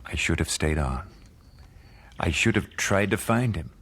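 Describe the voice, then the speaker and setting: An actor playing an earnest nineteenth-century American gospel singer in a radio drama, speaking in a measured, solemn, dramatic way. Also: male voice, sits low, lively intonation, fairly bright tone, slightly rough, full character voice. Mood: anguished, guilty. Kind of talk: radio drama narration and dialogue.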